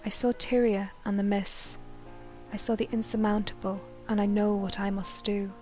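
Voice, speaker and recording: A woman voicing an obsessed, menacing female plant-creature villain reading her journal in an ominous, deliberate, intense manner. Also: mid-range voice, flat delivery, warm tone, faint music behind, close to an everyday voice. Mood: ominous resolve.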